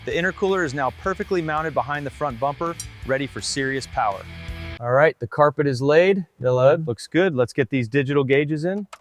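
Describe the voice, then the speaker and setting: A man with a slightly high-pitched voice talks through car restoration work as a mechanic. His phrases are short and procedural, one step at a time. Faint music and vehicle sounds sit behind him. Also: moderate pace. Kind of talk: short step-by-step workshop commentary.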